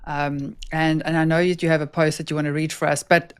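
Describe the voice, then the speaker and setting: A woman's voice: sits low, level tone, brisk, clear, resonant tone. A woman hosting a conversation, speaking earnestly and encouragingly about authenticity in leadership. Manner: earnest, encouraging, agrees often with "mm". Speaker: host. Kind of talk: casual conversation.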